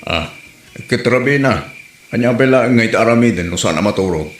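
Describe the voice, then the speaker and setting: Casting: actor as exasperated, scolding Ilocano village husband, mid-pitched, comedy drama, echoing sound. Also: male voice, expressive, moderate pace, soft background music, natural voice. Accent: Ilocano Filipino. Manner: grumbling, nagging. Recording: roomy echo with faint noise.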